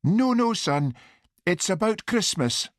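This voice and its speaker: A busy Highland Scottish mother, as a male narrator puts her on, pitched well up with a swooping lilt. She is plaintive and coaxing, yet brisk, in an audiobook style. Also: very animated, dry recording, clean studio sound, exaggerated voice. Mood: breaking bad news. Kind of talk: audiobook character dialogue.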